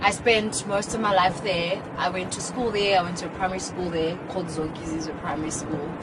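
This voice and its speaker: A woman's voice: lowish voice, brisk, background noise. A young South African woman, a radio presenter, chatting candidly and emphatically as an interview guest. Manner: lively, candid, laughing, emphatic bursts. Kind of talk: casual interview chat. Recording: loud music bed under voices. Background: loud music.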